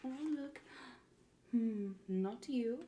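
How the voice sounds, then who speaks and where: A woman playing a mocking, menacing pirate queen in a soft-spoken ASMR roleplay, breathy and often whispering close to the mic, drawing out and repeating her words. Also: fairly high pitch, slight background noise. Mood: mock surprise. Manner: slow, drawn-out, repeating words, theatrical. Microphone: close ASMR microphone.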